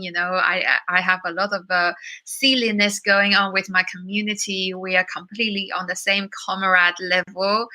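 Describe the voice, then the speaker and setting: Bright-voiced woman with a Chinese accent. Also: medium pitch, poor audio. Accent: Chinese with some British. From China. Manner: candid, reflective storytelling with many fillers. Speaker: guest musician. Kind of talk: podcast interview.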